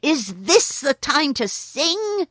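A woman's voice: sits high, no echo, clean studio sound, voice pushed up. A woman voicing a brave young male mongoose who speaks sharply and impatiently. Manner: brisk, sharp and scolding.

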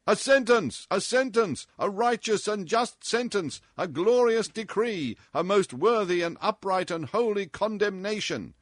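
A man's voice: fairly high pitch, a little slow, no echo, studio quality, pitched above the performer's own voice, lightly acted. A man voicing a pompous mock-royal court in a storybook, declaiming judgments in a grandiose, exclamatory manner. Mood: gleeful outcry. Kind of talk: storybook character voice in an audiobook.

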